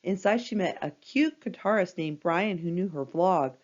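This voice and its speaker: A woman narrating a scripted children's storybook in an expressive, dramatic read-aloud style for young listeners. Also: on the low side, moderate pace, dull audio.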